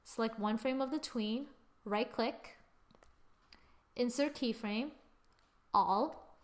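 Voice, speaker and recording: A young adult woman giving a casual software tutorial, with a playful, exclaiming manner and little sing-song asides. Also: fairly high pitch, takes it slowly. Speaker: tutorial presenter. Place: screen-recorded voice-over.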